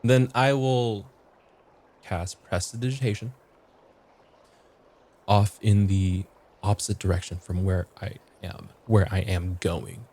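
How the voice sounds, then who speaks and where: Low, halting male tabletop player. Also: steady tone, vocal fry, mellow. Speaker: guest player in a tabletop game. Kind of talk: casual game-table talk.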